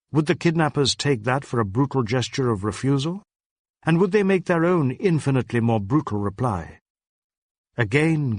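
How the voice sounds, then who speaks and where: A man reading a crime novel as scripted narration, in a measured, dramatic and suspenseful manner. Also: mid-range voice, no echo, studio quality. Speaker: audiobook narrator.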